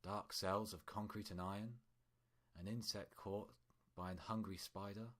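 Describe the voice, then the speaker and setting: A man's voice: on the low side, flat delivery, measured pace, a bit bright. A man reading scripted fiction as a storyteller, in prose narration.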